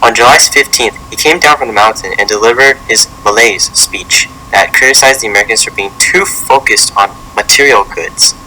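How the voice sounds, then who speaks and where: A man reading a scripted educational narration in a halting way, stumbling over words now and then. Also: on the low side, bright, forceful tone, slight background noise, low-quality recording. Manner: halting read-aloud delivery with stumbles.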